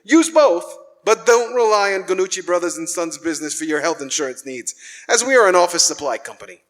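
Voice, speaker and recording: A man's voice: high voice, expressive, brisk, a bit bright. A man co-announcing a live radio show with mock-earnest comic banter in a church hall. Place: large church, live stage performance.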